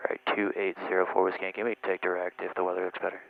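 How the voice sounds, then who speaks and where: Male pilot, gravelly and low, clipped cockpit callouts, dull headset audio. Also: very fast. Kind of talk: flight vlog cockpit talk and radio calls. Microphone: aviation headset. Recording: muffled aviation headset, steady cabin noise.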